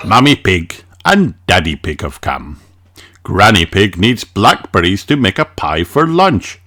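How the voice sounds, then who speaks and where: A man reading a children's storybook aloud with expressive, deliberate phrasing, music playing behind him. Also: sits low, no echo, clean studio sound. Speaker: audiobook reader. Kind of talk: scripted children's storybook narration.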